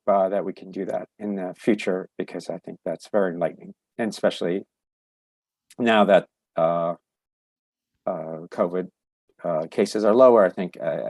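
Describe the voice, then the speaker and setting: A man chairing a council meeting, halting with many fillers and restarts, losing his voice. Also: low-pitched, darkish tone, no echo. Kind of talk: virtual public meeting.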